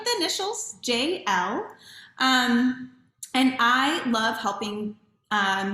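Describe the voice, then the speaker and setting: A woman's voice: mid-range voice, fairly bright tone. Woman guest, 30s, from Chile; candid, reflective storyteller, voice in a roomy echo. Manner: candid, reflective, many "um" and "right?". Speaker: podcast guest, community builder. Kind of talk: personal storytelling interview.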